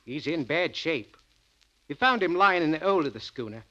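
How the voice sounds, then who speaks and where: A man's voice: a little high, animated, moderate pace, pushed and bright. A man playing a dutiful, respectful British chief petty officer, reporting in clipped, formal 1940s radio drama style. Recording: dull vintage radio recording.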